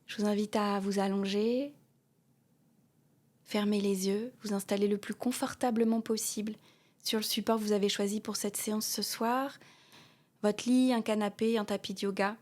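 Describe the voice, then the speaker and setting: A woman guiding a yoga nidra meditation, speaking softly with pauses and repeated soothing phrases, often trailing off into a breathy whisper. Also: mid-range voice, fairly even intonation. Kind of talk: guided meditation with a read inspirational text.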